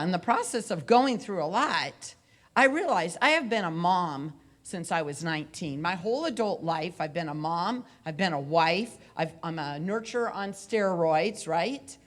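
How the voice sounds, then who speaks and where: A woman in her forties giving a loud, conversational motivational talk into a handheld mic on a conference room stage. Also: mid-range voice. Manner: loud, conversational, with repeated phrases. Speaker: motivational speaker and coach. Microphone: handheld microphone.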